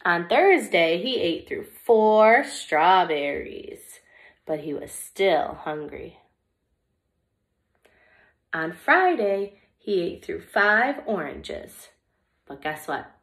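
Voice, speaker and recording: A young woman who teaches small children, reading a picture book aloud in an expressive, deliberate way meant for little listeners. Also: fairly low pitch. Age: young adult.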